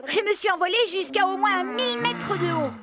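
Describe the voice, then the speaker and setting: A woman voicing a small, excitable, earnest young creature in a French children's cartoon, with exaggerated childlike delivery. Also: extremely high pitch, fairly quick. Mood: boastful, excited. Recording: loud music under the voice.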